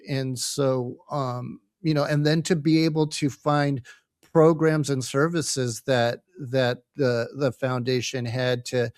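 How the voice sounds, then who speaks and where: A man giving an earnest, personal interview as a podcast guest, speaking in a halting, reflective way with pauses and repeated words. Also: medium pitch, no echo, clean studio sound. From United States. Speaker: podcast guest, family caregiver.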